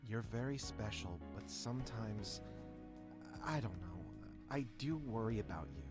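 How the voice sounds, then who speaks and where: An actor playing a tender, possessive male ghostly children's show host in a visual novel game, with a soft, coaxing, affectionate manner. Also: on the low side, expressive, measured pace. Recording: music playing behind the voice.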